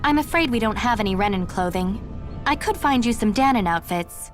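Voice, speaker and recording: A woman's voice: mid-range voice, animated, a little fast, faint music behind, natural voice. An actress playing a cheery young resistance woman in a video game, teasing and coaxing in a friendly way. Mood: playful.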